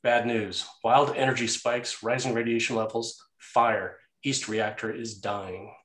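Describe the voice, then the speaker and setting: A man's voice: mid-range voice, little pitch movement, a little slow, a bit bright. Man narrating a screenplay, roomy echo. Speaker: narrator reading stage directions. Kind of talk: scripted screenplay narration at a table read. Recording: echoey, roomy sound.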